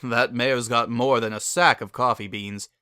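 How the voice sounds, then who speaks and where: A narrator voicing a calm, sensible male cartoon unicorn in a plain, matter-of-fact manner. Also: mid-range voice, moderate pace, smooth, dry recording, studio quality, lightly acted. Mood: calm, reassuring.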